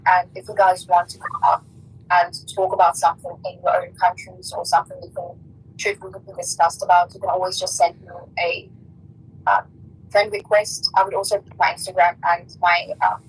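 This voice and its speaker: A woman with a lower, husky voice chats casually as a podcast co-host. Her intonation stays quite flat as she speaks over an online call. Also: poor audio. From Africa.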